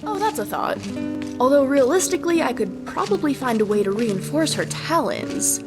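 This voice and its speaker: A woman plays a young tinkerer rogue from a fantasy game, covetous and inquisitive. Her high voice leaps about with eager, animated pleading. Music runs behind her. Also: normal speed. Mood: scheming. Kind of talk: video game companion dialogue.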